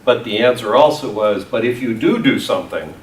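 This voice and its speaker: A man chairing a town committee meeting, speaking in measured phrases with frequent restarts. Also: on the low side, expressive, a little hiss or hum. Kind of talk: public meeting moderation. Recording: echoey meeting room from far off.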